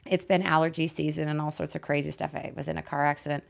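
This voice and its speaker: A woman with a raspy, hoarse voice hosting a conversational podcast in a playful, teasing manner. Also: low-pitched, flat delivery, fast talker. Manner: playful, teasing, laughs often. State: voice hoarse after losing it. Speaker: host and guide.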